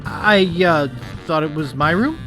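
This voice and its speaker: Young wannabe pirate hero in a game, earnest n wry, pitch hops around, music behind. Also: male voice, big pitch swings, measured pace, low-quality recording. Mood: polite. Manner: polite, inquisitive, wry. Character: naive, earnest young male would-be pirate. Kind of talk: video game dialogue acting.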